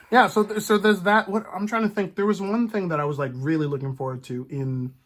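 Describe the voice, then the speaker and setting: Higher-voiced man chatting casually. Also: moderate pace, fairly bright tone. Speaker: podcast host. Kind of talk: casual chat about film and TV.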